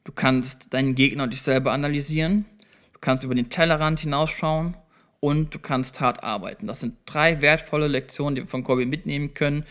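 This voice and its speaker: A man's voice: on the high side, fairly even intonation, fairly quick, fairly bright tone, clean tone. A man hosting a solo self-improvement podcast, talking directly to the listener and explaining step by step, conversational with small restarts. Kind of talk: solo motivational podcast talk.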